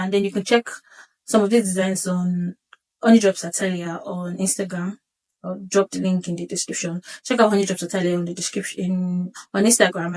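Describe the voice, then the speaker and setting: A woman in her twenties hosting her own vlog, seated and chatting casually, often pausing to correct herself with light laughs. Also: mid-range voice, darkish tone, poor audio. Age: 20s. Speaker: vlog host. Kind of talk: casual solo vlog monologue. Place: seated indoors.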